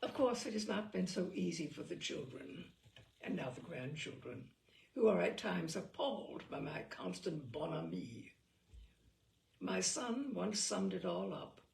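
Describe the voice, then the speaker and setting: Gravelly, wryly expressive woman reader. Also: on the low side, unhurried, reverberant, a little hiss or hum. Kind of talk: reading humorous essays aloud.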